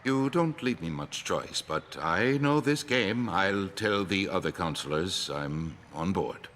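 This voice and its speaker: A man's voice: low voice, animated, slow, a bit bright, a little hiss or hum, heavily acted. A man playing a self-important, irritable human politician in a video game, curt and indignant. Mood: grudging.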